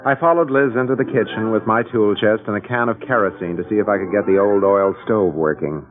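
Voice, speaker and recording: A man's voice: on the low side, brisk, narrow, tinny audio, lightly acted. An actor playing a wry, harried middle-aged American father, narrating and speaking dryly in an old-time radio drama. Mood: wry narration. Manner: dry, wry. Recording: thin band-limited old radio recording, music behind.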